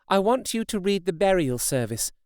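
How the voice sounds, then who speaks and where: A man's voice: high voice, very animated, normal speed, darkish tone, smooth, no echo, studio quality, pitched above the performer's own voice. A man voicing a devoted young wife in an audiobook, speaking with earnest, tender pleading. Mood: solemn pleading. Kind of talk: audiobook character reading.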